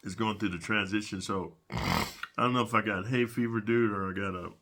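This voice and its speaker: A man's voice: lowish voice, expressive, a little fast, gravelly, pushed and bright. A middle-aged man telling prison stories in a rambling, casual monologue, sniffling from allergies and dropping "man" and "dude" all the time. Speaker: prison storyteller.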